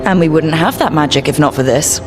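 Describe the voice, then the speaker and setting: A woman playing a steady, protective, candid hero in a fantasy game, speaking in a measured, sincere way. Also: low voice, over music, lightly acted. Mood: intrigued.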